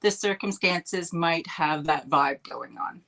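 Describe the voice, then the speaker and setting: A woman's voice: sits low, slow pace, sharp tone. A middle-aged woman with a Canadian accent, chatting as a podcast guest in an upbeat, laughing way. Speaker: podcast guest, healer and mentor. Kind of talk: casual interview chat.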